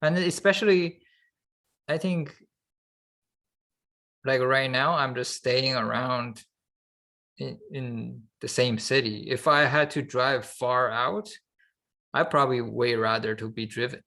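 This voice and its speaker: A man co-hosting a podcast in casual, unscripted chat, halting as he thinks aloud. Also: medium pitch, creaky, sharp tone. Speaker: podcast co-host.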